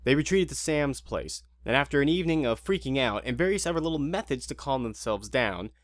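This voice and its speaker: A man's voice: mid-range voice, lively intonation, brisk. A man narrating a scripted audio drama in an informal, wry, conversational way.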